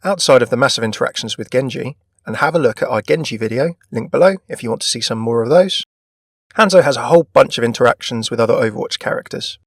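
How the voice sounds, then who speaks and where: A man's voice: medium pitch, lively intonation, quick pace, no room sound, clean studio sound. A man presenting a gaming video in a scripted narration style. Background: background music.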